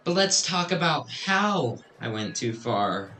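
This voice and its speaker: A man's voice: medium pitch, full character voice. A man playing a flirtatious, easily flustered Christmas elf in a radio drama, with theatrical, exaggerated delivery. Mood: flirtatious, smarmy.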